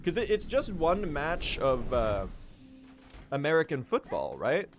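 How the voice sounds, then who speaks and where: Swedish guy streaming, high lively voice, jokes at himself and yells at the game, loud game music under him. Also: measured pace. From Sweden. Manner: jokey, self-mocking, bursts into yelling at the game. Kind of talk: live gaming stream chat.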